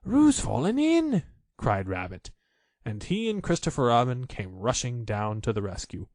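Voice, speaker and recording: Low-voiced, lively man reading a storybook. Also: moderate pace, no echo, clean studio sound. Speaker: storybook narrator. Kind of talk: expressive scripted children's audiobook narration.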